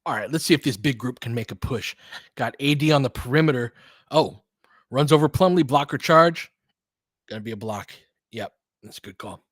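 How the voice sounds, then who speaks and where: Male American play-by-play fan host, mid-pitched, informal and chatty, clipped calls, dry close recording. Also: normal speed, no echo. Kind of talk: casual live basketball commentary.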